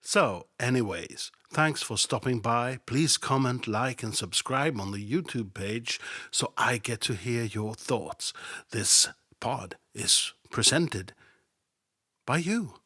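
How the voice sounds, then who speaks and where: Slow, husky-voiced sardonic man. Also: medium pitch, expressive, pushed and bright, no echo, clean studio sound. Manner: sardonic, mocking, drops to whispers for asides. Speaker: podcast host. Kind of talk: satirical Bible commentary podcast.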